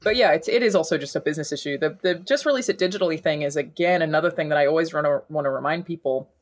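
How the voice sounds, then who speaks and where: Casting: young Australian woman, deep voice, chatty podcast co-host. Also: racing. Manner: laughs often, chatty and animated. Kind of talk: casual chat.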